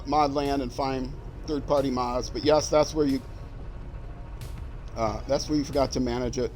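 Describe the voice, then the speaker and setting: A man's voice: fairly high pitch, a little mellow. An older retired man with a young-sounding voice, chatting casually and rambling with many fillers as he streams a game. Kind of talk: casual livestream commentary while playing.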